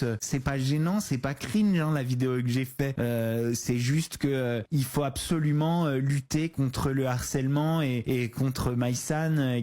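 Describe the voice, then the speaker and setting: A man's voice: medium pitch, warm tone. Chatty man recapping celebrity gossip. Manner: chatty, acts out quoted people in mocking voices. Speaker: online gossip commentator. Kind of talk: reality-TV news commentary.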